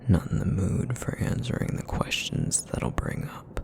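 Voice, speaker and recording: A man voicing a broody, guarded feral essence eater in an ASMR roleplay, with a raspy, rumbling, breathy murmur and terse, grudging phrases. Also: measured pace, lightly acted. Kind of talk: ASMR roleplay audio acting.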